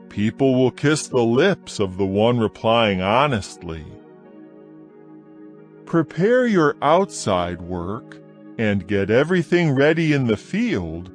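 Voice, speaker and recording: A man reading scripture aloud in a dramatized audio production, with a slow, measured, solemn delivery and faint music behind him. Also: mid-range voice. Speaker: scripture reader. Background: soft music.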